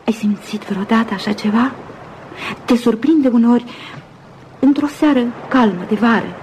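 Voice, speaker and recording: An actress playing a dreamy, romantic upper-class woman in a Romanian radio drama, speaking softly and musingly with pauses. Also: fairly high pitch, slight background noise, lightly acted, low-quality recording. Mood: wistful, wondering. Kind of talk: Romanian radio theatre acting.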